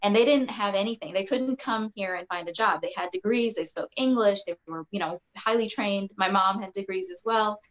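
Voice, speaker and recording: A woman's voice: mid-range voice, moderate pace, few pauses, lo-fi sound. A woman in her forties from Kentucky, a state representative, speaking earnestly on a video-call panel with frequent restarts. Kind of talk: panel discussion.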